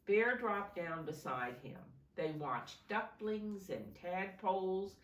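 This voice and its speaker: Slow, expressive woman reading to preschoolers. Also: mid-range voice. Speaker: preschool teacher reading aloud. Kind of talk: expressive storybook reading with simple explanations for young children. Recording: roomy echo, distant microphone.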